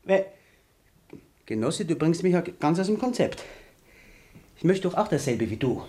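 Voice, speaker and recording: A young political firebrand in a radio drama. His voice sits high and moves around a lot. He is defiant and militant, arguing like an orator at a meeting. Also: big pitch swings, normal speed, warm tone. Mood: exasperated. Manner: combative, oratorical. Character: defiant, militant young male party rebel. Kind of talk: radio play acting.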